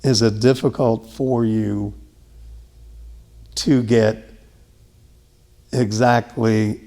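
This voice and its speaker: A man asking questions haltingly, pausing often and searching for words. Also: fairly low pitch, steady tone, warm tone. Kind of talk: conversational interviewing.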